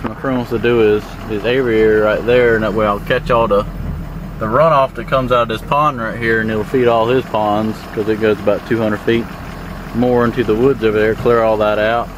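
Rambling man, engine noise behind. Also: on the low side. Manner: relaxed, rambling, plain-spoken. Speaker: landowner showing his farm. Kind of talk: casual walk-and-drive tour narration. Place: outdoors in fields, then inside a moving pickup truck. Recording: clear background noise of truck engine and outdoors.

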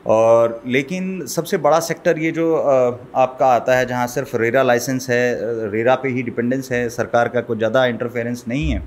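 Man, middle-aged, guest expert, measured explaining, studio desk mic. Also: mid-range voice, steady tone. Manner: measured, explaining, code-mixes English terms. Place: small studio set. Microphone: desk mic on boom arm.

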